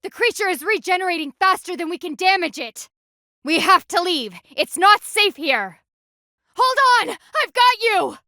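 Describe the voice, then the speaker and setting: A woman's voice: very high voice, animated, moderate pace, pushed and bright, no room sound, clean studio sound, exaggerated voice. A woman voicing a stern, proud female panda warrior in a video game, with formal, clipped and dryly sardonic diction. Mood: urgent, alarmed. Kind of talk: video game character voice lines.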